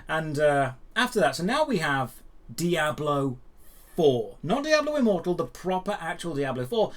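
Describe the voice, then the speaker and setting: A man hosting a news show, giving opinionated commentary straight to viewers with emphatic phrasing and dramatic pauses. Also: a little high. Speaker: host of a daily gaming news show.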